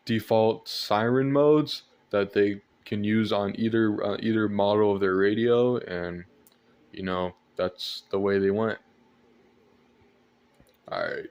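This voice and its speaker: A young man giving a casual show-and-tell vlog about his collection, hesitant and rambling with repeated phrases. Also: lowish voice. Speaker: collector presenting weather radios. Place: indoors at home at night.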